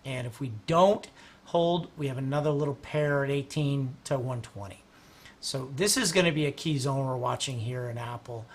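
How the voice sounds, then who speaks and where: A man's voice: mid-range voice, moderate pace. A man hosting a livestream, walking through stock charts in casual, rambling talk while losing his voice. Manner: rambling, restarts, clears throat often. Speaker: host and market analyst.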